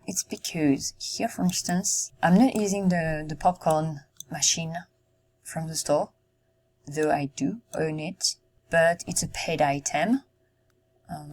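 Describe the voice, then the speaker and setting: Woman, low husky voice, slow unhurried delivery, thinking aloud as she builds. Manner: musing aloud, halting, frequent ums. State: losing her voice. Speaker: Sims content creator. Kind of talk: casual gameplay commentary while building.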